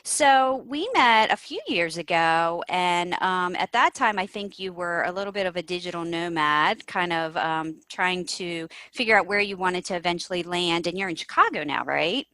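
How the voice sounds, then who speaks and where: A woman's voice: fairly low pitch, moderate pace, few pauses, pushed and bright. A woman hosting a podcast who reads her intro and then chats with her guest in a friendly way. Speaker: podcast host.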